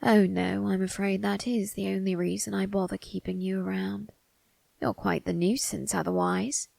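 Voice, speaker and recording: An eerie, ageless male undertaker, acted by a woman speaks in an ASMR roleplay. The voice is husky and breathy, crackling heavily with creak. The phrasing is formal and slyly amused, needling the listener with soft tag questions. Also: mid-range voice, level tone, no echo. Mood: sly, teasing. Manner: slow, formal, teasing delivery with drawn-out tag questions.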